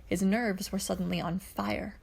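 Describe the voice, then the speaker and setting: A woman's voice: medium pitch, fairly even intonation, normal speed, creaky, darkish tone, husky. A woman reading scripted story narration as the narrator of fan fiction. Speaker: podfic narrator.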